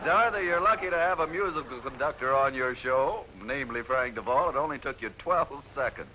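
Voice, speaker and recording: A man's voice: mid-range voice, lively intonation, brisk, a little hiss or hum. A man announcing scores as a game show timekeeper, on a muffled 1950s broadcast.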